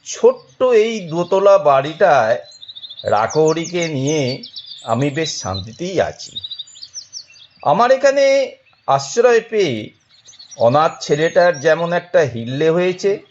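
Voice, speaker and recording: A man reads a dramatic story as its narrator. His delivery is slow and measured, with lively, expressive intonation. The recording is a little dull, with faint noise behind. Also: fairly high pitch.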